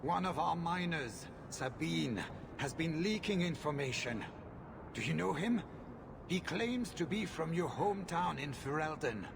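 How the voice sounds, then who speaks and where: A man's voice: a little high, lively intonation, unhurried, gravelly, noisy background. An actor voicing a haughty, hot-tempered, ruthless merchant in a video game, pompous and contemptuous in his formal phrasing. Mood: haughty, irritated. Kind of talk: video game cutscene acting.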